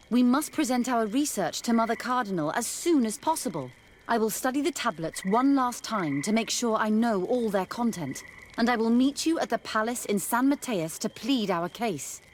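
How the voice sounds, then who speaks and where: An actress playing an earnest, fervent scholarly nun in a fantasy video game. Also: female voice, a little high, expressive, normal speed, slight background noise, close to an everyday voice. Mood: grave. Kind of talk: scripted fantasy game dialogue. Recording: game music behind the voice. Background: game music.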